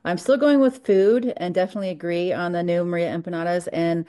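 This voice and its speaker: Bubbly, lower-voiced woman. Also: steady tone, brisk, dark tone. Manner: bubbly and enthusiastic. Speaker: assistant director.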